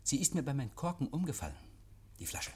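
An actor in a 1950s German radio play, playing a nervous, evasive private scholar who talks in a fussy, pedantic, over-polite and agitated way. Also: male voice, medium pitch, sing-song, brisk, a little mellow, slightly rough. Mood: uneasy politeness. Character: nervous, evasive male private scholar hosting policemen, defensive and testy. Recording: vintage 1951 radio drama recording.

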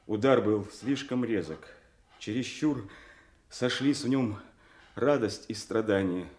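An actor playing a noble, sorrowful young avenging brother in a Shakespearean audio drama, with grave, measured declamation. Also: male voice, on the low side, a little mellow, reverberant. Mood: grieving, intense. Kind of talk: classical Shakespearean stage acting for audio drama.

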